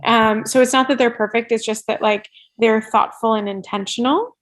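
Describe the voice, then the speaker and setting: A woman with a fairly high voice speaks as an expert guest in a conversational interview. Her phrasing is informal and spontaneous, with "like" sprinkled through her explanations and frequent tags of "right". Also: steady tone, normal speed. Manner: informal, peppers speech with "like" and "right". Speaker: podcast guest, workplace inclusion expert.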